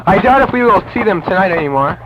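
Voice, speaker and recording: A man's voice: high-pitched, expressive, fast, pitched above the performer's own voice. A man voicing an earnest, formal cave explorer and vampire expert in an amateur audio drama. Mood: earnest, explaining. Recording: noisy, muffled old amateur tape.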